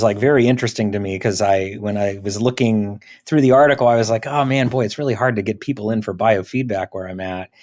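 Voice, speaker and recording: A man's voice: lowish voice, a little mellow. A man speaking as a podcast host, conducting an interview with medical guests. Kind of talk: interview hosting.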